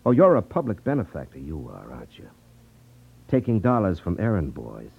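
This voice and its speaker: An actor playing a cool, sardonic private detective with a wry manner in a 1950s radio drama. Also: male voice, low-pitched, wildly swooping pitch, normal speed, gravelly, slightly rough, exaggerated voice. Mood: scornful. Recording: dull 1950s radio recording.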